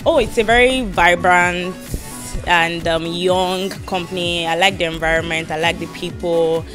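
Lively young woman from Nigeria. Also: fairly low pitch, unhurried. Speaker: university student. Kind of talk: on-camera interview comments. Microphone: handheld interviewer mic. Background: background music.

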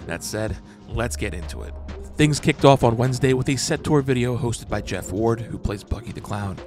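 A man's voice: medium pitch, animated, normal speed. A man hosting an explainer, reading scripted narration in a breakdown style. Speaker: host of fan breakdown channel. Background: background music.

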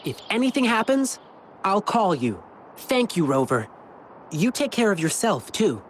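A man voicing an energetic, earnest young adventurer in a video game. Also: high-pitched, measured pace, slight background noise. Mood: caring.

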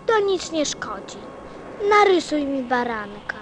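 A woman's voice: high voice, very animated, takes it slowly, noisy background, heavily acted. A woman playing a curious, insistent little boy prince in a radio play, speaking earnestly like a child.

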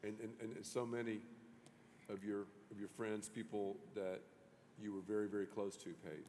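Middle-aged man, pastor, animated interviewer, stumbles and restarts, reverberant hall. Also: fairly low pitch, normal speed, slight background noise. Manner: animated, many restarts, gestures with hands. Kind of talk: onstage church interview. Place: large church stage before an audience.

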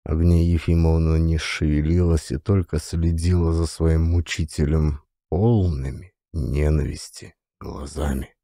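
A man reading classic literature as an audiobook, with measured, expressive, dramatic narration. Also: very deep voice, vocal fry, no room sound.

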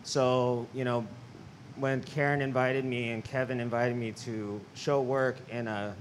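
A man's voice: mid-range voice, steady tone, a little slow, slight background noise, poor audio. A man from Los Angeles speaking sincerely and thoughtfully about his art on a panel, with a handheld mic in a gallery. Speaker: artist, panelist. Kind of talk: panel talk. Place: large gallery, indoors.

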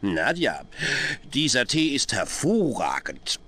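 A boisterous middle-aged Soviet dictator in a game cutscene. His voice is bright and forceful, swinging widely in pitch, with slow, commanding, gloating delivery and menace. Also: wildly swooping pitch, slightly rough, full character voice. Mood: jovial, pleased. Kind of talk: video game cutscene acting.

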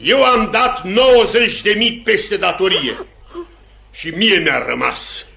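An actor playing a tipsy self-made merchant in a theatrical Romanian radio play. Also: male voice, sits high, lively intonation, vocal fry, bright, forceful tone, exaggerated voice. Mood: exultant, gloating. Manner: theatrical, halting then gloating, laughing. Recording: noisy old 1950s radio recording.

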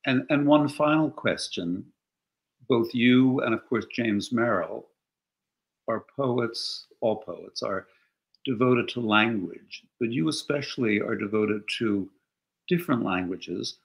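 An older man hosting an interview over a video call from his living room, speaking in a courteous, measured way. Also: medium pitch, gravelly, rough recording. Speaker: interviewer, host. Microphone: video call webcam.

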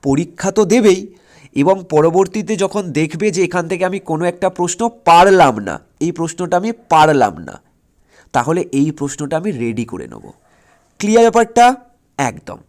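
A man's voice: on the high side, very animated, moderate pace, a little mellow. A man teaching exam preparation, explaining step by step and often stopping to check that students follow. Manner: instructive, often checks understanding with short questions; repetitive, step-by-step counting and listing.

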